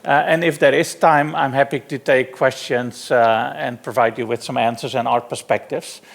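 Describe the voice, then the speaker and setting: A middle-aged man from the Netherlands with a Dutch accent, giving a relaxed, self-deprecating technical conference talk with frequent fillers. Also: mid-range voice, normal speed, creaky. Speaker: conference speaker. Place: conference hall.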